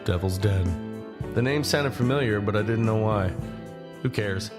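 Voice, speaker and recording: A man acting as an easygoing young male hiker tells his story in an audio drama. His voice is low, his delivery conversational and a little guarded. Guitar music plays prominently behind him. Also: a little slow, lightly acted. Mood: reflective. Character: John, easygoing but guarded young man hiking long-distance. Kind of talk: first-person audio drama narration and dialogue.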